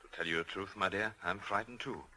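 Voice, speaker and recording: An actor playing a brave, gentle husband who speaks in a measured, formal, earnest way in a 1940s radio drama. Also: male voice, deep voice. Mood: earnest, resolute. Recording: old 1940s radio broadcast.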